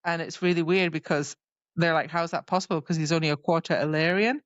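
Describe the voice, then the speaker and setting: A woman with a fairly low voice talks as a podcast co-host in loose, informal book chat, dropping wry asides. The recording is dry and a little muffled, like a modest microphone. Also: steady tone, fast, no echo. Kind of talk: casual book discussion chat. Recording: dull, slightly lo-fi microphone sound.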